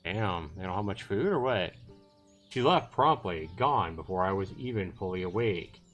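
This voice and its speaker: A man's voice: sits low, lively intonation, normal speed, fairly bright tone, muffled recording. A man hosting a game livestream, reading story text aloud expressively and dropping in casual asides. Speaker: livestream host playing a visual novel. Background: game background music.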